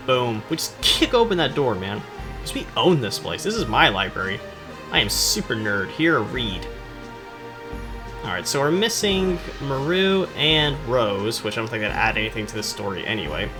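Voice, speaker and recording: A man with an average-pitched, very expressive voice talks through a video game as its host. His delivery is loose and rambling, full of sarcasm and jokes at his own expense. Music plays clearly behind him. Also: a little fast. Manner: jokey, sarcastic, self-deprecating.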